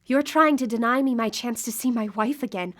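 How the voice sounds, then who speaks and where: Radio drama casting: actress as obsessive grieving widow, high-pitched, urgent and pleading. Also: female voice, brisk, close to an everyday voice. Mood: bitter, defiant. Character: grieving, obsessive widow desperate to reach her lost wife. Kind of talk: live radio drama acting. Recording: live broadcast with background noise.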